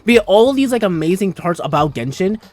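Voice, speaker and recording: A high-pitched male game streamer reacts casually as he plays. He gushes in slang and swears freely, with game music underneath. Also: big pitch swings, a little fast, darkish tone. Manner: gushing, slangy, profane. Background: video game music and dialogue.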